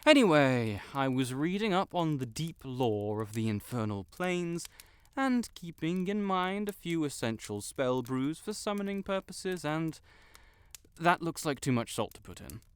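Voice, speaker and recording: A man's voice: a little high, big pitch swings, a little slow, clean tone. A man playing a pompous, melodramatic wizard in an audio roleplay, with flowery, theatrical speech and blurted self-corrections. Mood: boastful, faltering. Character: haughty, melodramatic male wizard, secretly fond tsundere. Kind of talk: scripted audio roleplay to the listener.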